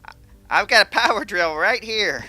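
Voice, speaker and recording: A man's voice: high-pitched, expressive, normal speed, pushed and bright, no echo, faint music behind, full character voice. An actor playing a paranoid, desperate male caller who pleads frantically. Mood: frantic.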